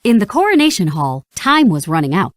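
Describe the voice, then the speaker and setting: A woman narrating a read-along storybook in an animated, expressive way. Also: mid-range voice, a little fast, dry recording, studio quality.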